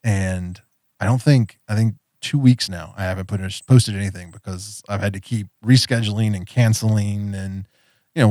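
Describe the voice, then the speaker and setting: Low-voiced rambling podcast host man. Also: darkish tone, no echo, clean studio sound. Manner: rambling, full of fillers and laughs. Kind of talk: casual podcast chat.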